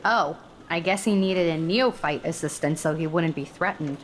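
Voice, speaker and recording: Snippy young female student, acted by a woman, jealous edge, game drama, clear background noise. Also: sits low, normal speed, natural voice. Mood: jealous, cutting. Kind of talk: naturalistic video game acting.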